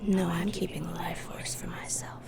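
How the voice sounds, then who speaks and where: A woman playing a haughty, sinister dark fairy in an ASMR roleplay, whispering in a dismissive, sardonic way. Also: on the low side, level tone, moderate pace, vocal fry. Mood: dismissive, bored.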